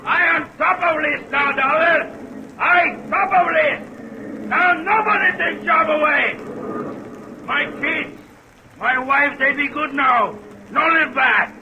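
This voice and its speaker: An actor playing a huge, desperate, stubborn immigrant steelworker with a foreign accent and halting broken English in an old radio drama. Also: male voice, very high voice, background noise, exaggerated voice. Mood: desperate, defiant.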